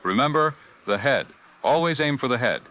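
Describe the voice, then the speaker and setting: A man's voice: medium pitch, animated, normal speed, lo-fi sound, natural voice. A man playing a grave, solemn newsman making a final broadcast in a 1940s-style radio drama. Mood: solemn farewell.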